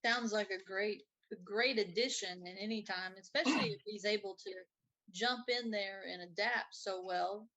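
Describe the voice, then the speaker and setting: A woman's voice: medium pitch, normal speed, bright, forceful tone, rough recording. A woman chatting casually as co-host of a live online interview. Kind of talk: casual livestream chat.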